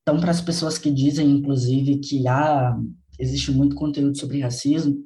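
Man, podcast host, earnest, reflective, mellow warm tone, mid pitch. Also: fairly even intonation, normal speed. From Bahia, Brazil. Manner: earnest, reflective, repeats for emphasis. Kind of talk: casual podcast conversation.

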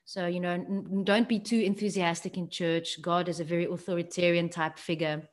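A woman from South Africa whose first language is Afrikaans, a guest telling her family story in a soft-spoken, reflective way with some halting restarts. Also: fairly low pitch, little pitch movement. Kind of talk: personal storytelling.

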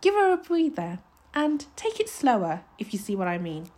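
A small, practical husband from a children's storybook, as a woman reader voices him. He is patient but firm, with plain country phrasing. The voice has a husky edge and lively pitch movement. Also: moderate pace. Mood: calm, directing. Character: patient, practical tiny husband, steady, sometimes short-tempered.

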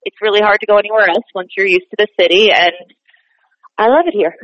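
A woman's voice: mid-range voice, brisk, no echo. A young woman from Boston, a stage performer, chatting as an interview guest with easy laughs and plenty of fillers. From Boston, USA. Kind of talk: casual interview chat. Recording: dry, slightly dull podcast audio.

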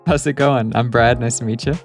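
A man from Canada hosting a relaxed call-in interview, chatting in an easygoing, encouraging way. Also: medium pitch, a little fast, soft background music. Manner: easygoing, encouraging, laughs along. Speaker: host. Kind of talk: casual interview chat.

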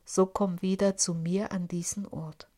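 A woman's voice: medium pitch, fairly even intonation, measured pace, close to an everyday voice. A woman whispering as a tiny glass forest spirit. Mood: hushed. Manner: hushed, whispery, secretive. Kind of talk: audiobook character voice.

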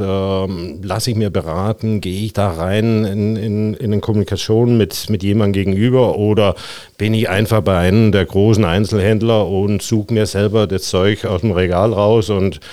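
Deep-voiced Danish man, halting. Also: no room sound. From Denmark. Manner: relaxed, halting with many fillers. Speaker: guest, custom tailor. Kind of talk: casual interview talk.

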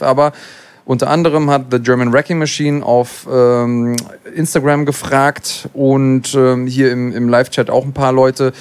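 A man hosting an interview, asking questions in a chatty, loose way with fillers. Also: mid-range voice. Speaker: host. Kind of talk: casual interview.

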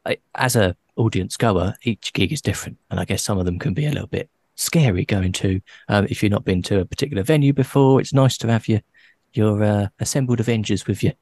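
A man hosting a casual podcast interview, his voice sitting low. He talks in a friendly, playful way and laughs easily. The sound is dry, with no room echo. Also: a little fast, a little mellow. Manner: chatty, laughs often, playful teasing. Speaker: podcast host.

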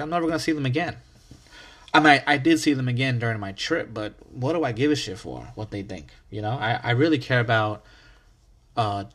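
A young man from the United States hosts his own podcast and tells a story in a loose, chatty monologue. He is animated and foul-mouthed, and his voice often jumps into sudden loud outbursts. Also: mid-range voice. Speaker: podcast host.

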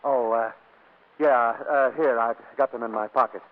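A man's voice: medium pitch, steady tone, normal speed, close to an everyday voice. An actor playing a hapless, evasive young husband in a 1940s radio comedy, stammering as he makes excuses. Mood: flustered, evasive. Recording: muffled old radio broadcast.

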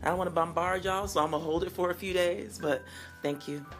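A woman in her forties hosting her own podcast, answering listener messages in an earnest, unhurried, affirming way with a low, husky morning voice. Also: fairly even intonation, faint music behind. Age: 40s. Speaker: singer-songwriter hosting a call-in podcast.

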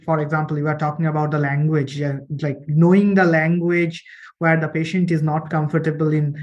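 A man interviews a guest with long, meandering questions broken up by fillers. His voice sits a bit high for a man and stays flat, with little rise and fall. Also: brisk, muffled recording. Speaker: interviewer, podcast host.

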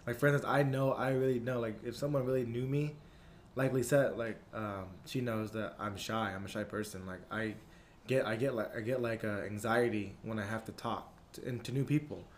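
A man's voice: medium pitch, steady tone, brisk, slight background noise. A young man hosting a casual podcast, talking in a laid-back, slangy way full of "like" and "you know". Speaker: podcast host.

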